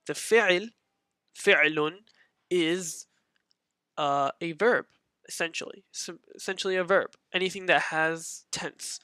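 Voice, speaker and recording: A man's voice: a little high, slow pace, pushed and bright, clean tone, no room sound, clean studio sound. A man teaching a beginner grammar lesson in a casual, patient way, repeating his points with many fillers.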